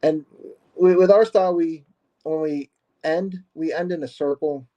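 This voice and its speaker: Mellow-voiced man, hesitant and easygoing. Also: on the high side, fairly even intonation, measured pace, highs cut off. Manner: hesitant, many fillers and restarts, laughs easily. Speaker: martial arts instructor, guest. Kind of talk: casual podcast conversation.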